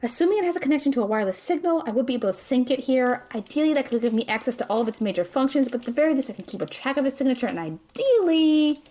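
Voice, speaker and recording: Lively, sardonic young female student mage acted by a woman. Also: high-pitched, racing, few pauses. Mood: focused, cautious. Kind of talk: fantasy comedy audio drama acting.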